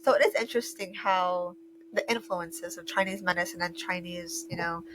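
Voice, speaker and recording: A woman hosts a podcast, putting questions hesitantly with plenty of fillers. Her voice sits on the low side. A faint hum runs under the recording. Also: moderate pace, fairly bright tone, poor audio. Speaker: podcast host. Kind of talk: casual conversation.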